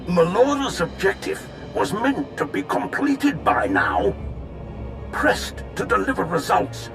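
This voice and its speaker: A man playing a deferential, flattering imperial fleet officer in a sci-fi game, courtly, formal and deliberate. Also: sits high, gravelly, full character voice. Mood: concerned, formal. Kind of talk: scripted video game dialogue. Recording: music behind the voice.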